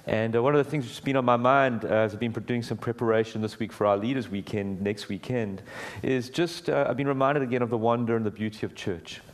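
A middle-aged man preaching a sermon in a church, with a booming voice and an earnest, conversational delivery full of small restarts. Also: brisk, few pauses. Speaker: guest preacher. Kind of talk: sermon with prayer. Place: church hall.

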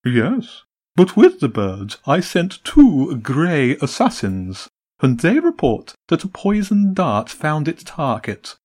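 A man voicing a scheming male pigeon leader who speaks in a high, jolly-sounding voice that turns menacing. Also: wildly swooping pitch, takes it slowly, a little mellow, exaggerated voice.

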